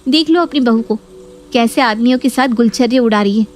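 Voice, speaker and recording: A woman's voice: fairly high pitch, a little fast, darkish tone, natural voice. A woman voicing a scheming young married daughter who accuses and complains. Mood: accusing. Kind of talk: Hindi audio story acting.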